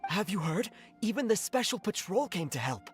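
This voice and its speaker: A woman's voice: mid-range voice, big pitch swings, normal speed, faint music behind, natural voice. A woman voicing an earnest, gossipy and protective townswoman in a fantasy video game. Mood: conspiratorial.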